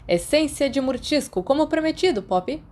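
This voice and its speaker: A woman voicing a cheerful, kindly and energetic older male professor in an audiobook story, warm and gently teasing. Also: extremely high pitch, moderate pace, slight background noise, voice pushed up.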